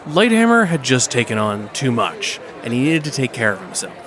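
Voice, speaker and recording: A man's voice: mid-range voice, wildly swooping pitch, moderate pace, a crowd in the background. A man playing a diplomatic, encouraging superhero theatre director in an audio drama. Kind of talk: audio drama dialogue, speech to cast.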